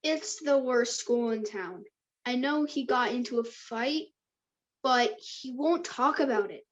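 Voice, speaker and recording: A woman's voice: fairly high pitch, slow pace, muffled recording. A woman reading the part of an evasive, tinkering kid boy in an amateur table read of a drama. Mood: casual, evasive.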